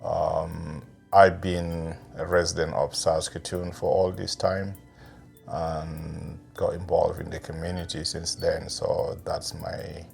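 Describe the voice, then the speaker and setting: A middle-aged man from South Sudan, speaking in a measured interview manner with pauses and fillers. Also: extremely low pitch, flat delivery, vocal fry, darkish tone, faint music behind. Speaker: interviewee, community advocate. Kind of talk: reflective interview talk.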